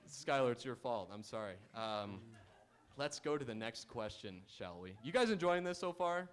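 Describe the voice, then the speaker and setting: A man's voice: mid-range voice, lively intonation, normal speed. A man hosting a live game show on stage, bantering playfully and reading announcements to the audience. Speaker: quiz show host. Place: auditorium stage.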